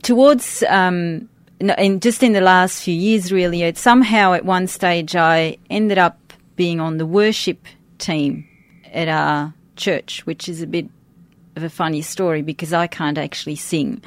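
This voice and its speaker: A woman's voice: on the low side, unhurried. A middle-aged woman who speaks English as a second language, chatting informally as an interview guest and thinking aloud as she goes. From grew up outside Australia. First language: a language other than English. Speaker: interview guest, church worship team member. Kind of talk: conversational interview.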